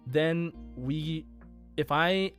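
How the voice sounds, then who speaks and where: A man's voice: a little high, very slow, a bit bright. Male podcast host over music. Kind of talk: casual podcast talk.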